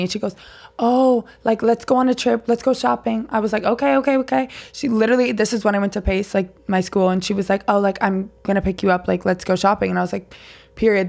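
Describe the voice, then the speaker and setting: A woman's voice: mid-range voice, gravelly, a little mellow. A young woman telling stories in casual podcast chat, slangy, sassy and dramatic in her delivery. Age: young adult. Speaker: podcast guest.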